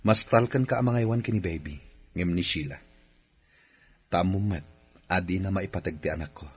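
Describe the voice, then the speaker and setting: A man with an Ilocano accent playing Albert, a stern, commanding husband and businessman's son, in a radio drama, his voice husky. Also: sits low, moderate pace. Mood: calm, instructing.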